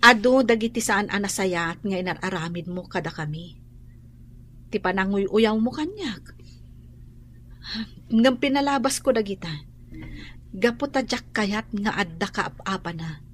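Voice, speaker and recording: An actress with an Ilocano accent playing an aggrieved, firm but forbearing woman homeowner in a radio drama, measured and reproachful. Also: medium pitch, expressive, a bit bright, a little hiss or hum.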